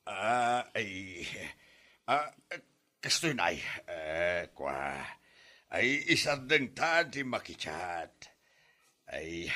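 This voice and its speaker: A man's voice: mid-range voice, sharp tone, heavily acted. A man playing a jealous, whiny, blustering married father in an Ilocano comedy drama, exclaiming and stammering when flustered. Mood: flustered, defensive. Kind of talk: comedy radio-style drama acting.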